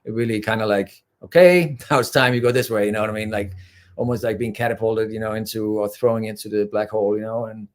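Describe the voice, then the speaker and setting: A man's voice: lowish voice, fairly even intonation, quick pace. A man from Denmark, a spiritual channeler talking as a guest in an interview in an earnest, rambling way, often filling with "you know". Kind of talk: casual interview talk.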